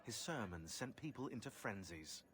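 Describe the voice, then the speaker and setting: An actor playing a sardonic historian, delivering wry, dramatic scripted narration in a video game. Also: male voice, lowish voice, expressive, moderate pace, a bit bright.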